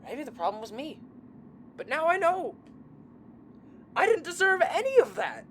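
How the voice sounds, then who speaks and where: Woman acting as hurt angry young friend, bitter and accusing, lively swings, faint noise behind, audio drama. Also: high-pitched, exaggerated voice. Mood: bitter, furious. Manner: emotional, confrontational, halting.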